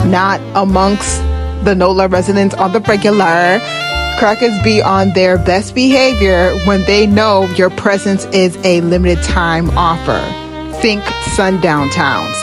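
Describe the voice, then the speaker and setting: A woman giving casual travel vlog commentary in a blunt, slangy, profane way. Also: mid-range voice. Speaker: travel vlogger and podcast host.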